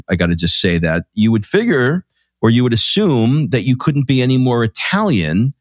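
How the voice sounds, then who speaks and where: A man hosts a podcast interview with eager, chatty enthusiasm. His mid-pitched voice swings freely up and down as he talks. The sound is close and dry, with no room around it. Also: dry recording. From Queens, New York. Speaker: podcast host. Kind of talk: casual interview chat.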